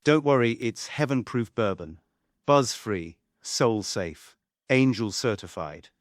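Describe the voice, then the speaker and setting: A man's voice: medium pitch, expressive, takes it slowly, no echo, clean studio sound. A man voicing a flamboyant, cocky trickster with a showboating, teasing manner, in a stage script table read. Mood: wry, showy. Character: flamboyant, cocky male trickster with a god complex.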